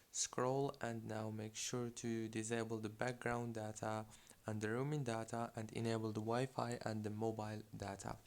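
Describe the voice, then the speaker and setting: A man's voice: medium pitch, clear, resonant tone. A man hosting a step-by-step tech tutorial as a voice-over, giving instructions one at a time. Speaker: tutorial host.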